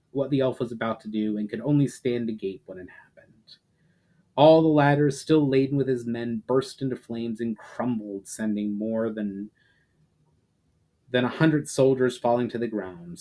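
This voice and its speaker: A man's voice: mid-range voice, normal speed, a little mellow. A man reading fantasy fiction aloud as a dramatic narration, hitting phrase openings with emphasis and letting sentence ends fall away. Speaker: writer reading own story aloud.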